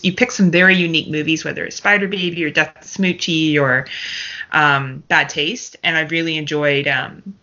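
A woman from Canada chats casually as a podcast guest. Her voice is bright and forceful, set fairly low for a woman, over slightly dull lo-fi audio. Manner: chatty, casual. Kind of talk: casual film podcast chat.

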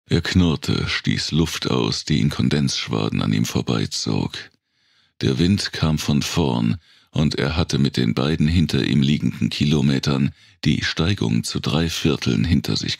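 A man narrating a dark thriller audiobook in a gravelly, raspy voice, with measured, dramatic storytelling. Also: a bass voice, dry recording, studio quality.

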